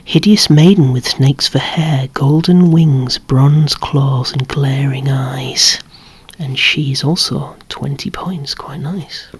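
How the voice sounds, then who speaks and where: A softly whispering collector presenting a toy collection ASMR-style, in a rambling, chatty way with lightly wry asides. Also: medium pitch, steady tone, slow, a little hiss or hum. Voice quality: breathy, hushed whisper.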